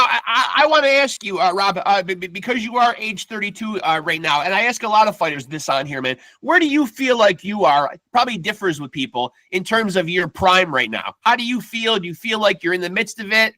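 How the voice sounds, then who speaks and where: A male podcast host with a fairly high, bright voice that cuts through. He talks in an energetic, casual way and keeps tossing in "man" as he chats with his guest. The sound is dry and close. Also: a little fast, hardly any pauses, dry recording. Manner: energetic, peppers speech with "man". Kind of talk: casual chat.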